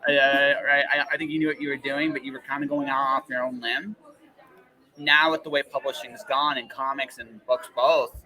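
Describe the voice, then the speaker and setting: A man's voice: mid-range voice, fairly even intonation, normal speed, pushed and bright, rough recording. A man hosting a casual podcast interview in a rambling, emphatic way, often checking in with the listener. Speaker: podcast host.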